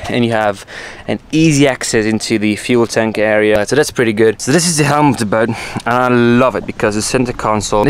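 A man presenting an informal walkthrough of his boat as its owner, explaining things casually and correcting himself as he goes. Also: medium pitch. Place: outdoors on an open motorboat on the water. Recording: outdoor ambient noise on boat.

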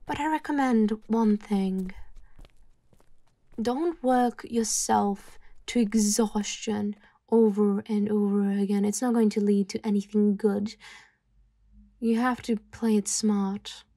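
A woman playing a calm, worldly mafia boss in an ASMR roleplay, speaking softly and intimately as she gently advises an employee. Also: mid-range voice, slow pace, dry recording, studio quality. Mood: wry, resigned.

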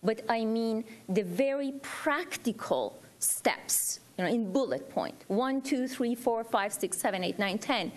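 A woman's voice: on the high side, animated, measured pace, hardly any pauses. Earnest, emphatic woman speaking publicly. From Iran. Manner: earnest, emphatic, repeats phrases for stress. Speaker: audience speaker at a public forum. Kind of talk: impromptu public remarks to a panel.

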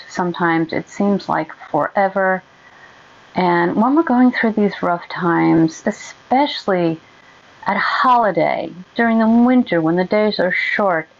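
A woman guides a meditation very slowly, in a gentle voice with a gravelly creak. She leaves long pauses between soothing instructions. The recording is slightly dull and muffled. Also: on the low side.

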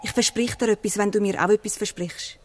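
A woman's voice: mid-range voice, steady tone, brisk, background noise, close to an everyday voice. A woman playing an earnest, pleading young girl in a Swiss German radio play.

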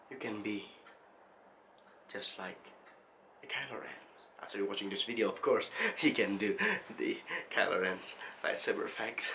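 A young adult man talking casually to camera as a tutorial host, in an animated, jokey, excitable manner. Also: fairly low pitch, a little hiss or hum. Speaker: host of video-effects tutorials. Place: small indoor studio room.

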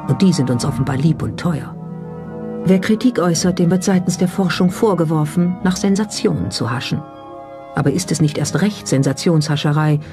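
A documentary narrator delivers scripted lines at a medium pitch, with pointed skepticism and a rhetorical edge. Music plays clearly behind the voice. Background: background music.

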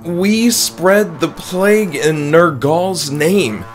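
A man's voice: on the high side, expressive, drawn-out words, soft background music, voice pushed up. A man voicing a frightened, injured male friend who speaks in agitated, insistent protest in a horror audiobook. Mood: disgusted, shaken. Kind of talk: horror audiobook character dialogue.

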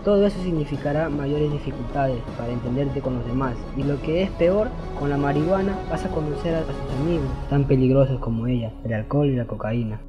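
A man's voice: medium pitch, brisk, few pauses, close to an everyday voice, low-quality recording. A man playing a sensible, earnest friend who gives advice in an educational radio play. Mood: earnest, friendly. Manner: earnest, advising. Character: sensible, earnest male friend giving warnings. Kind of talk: educational radio soap acting. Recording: music under the voice.

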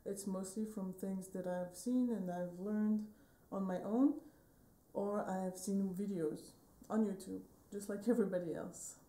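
A woman in her thirties from Switzerland, chatting casually about her painting in a relaxed, rambling way, with many fillers and small self-corrections. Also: mid-range voice, unhurried. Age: 30s. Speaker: painting vlogger. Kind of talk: casual tutorial chat. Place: home studio room, indoors, wooden shelves. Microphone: phone camera at desk distance.

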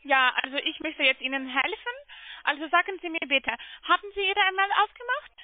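A woman with a foreign accent talking as a call-centre agent, halting but insistent. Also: high-pitched, animated. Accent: foreign, non-German. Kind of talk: phone customer-service talk. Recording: tinny narrow-band phone line.

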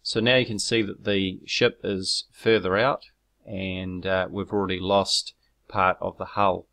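Low gravelly New man narrating. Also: flat delivery, a bit bright. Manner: measured and explanatory, with frequent ums and self-corrections; argumentative when rebutting critics. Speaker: video creator explaining his own footage. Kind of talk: narrated screen walkthrough.

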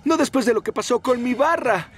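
Locomotora veloz, insegura, muy aguda. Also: male voice, extremely high pitch, lively intonation, brisk. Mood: worried. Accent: Latin American. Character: fast streamlined engine, eager but anxiously cautious. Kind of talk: animated children's cartoon acting.

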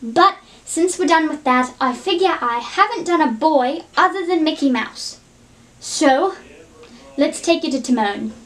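A woman's voice: sits high, lively intonation, unhurried, fairly bright tone. A woman chatting casually to viewers as the host of an impressions video.

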